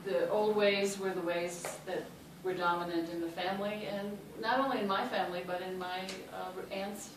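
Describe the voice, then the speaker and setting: A woman's voice: mid-range voice, steady tone, moderate pace, hardly any pauses. A woman with a Chicago accent, telling personal stories on a panel with lots of ums and uhs. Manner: warm and anecdotal, many ums and uhs. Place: large hall. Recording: echoey hall, mic far off, noisy.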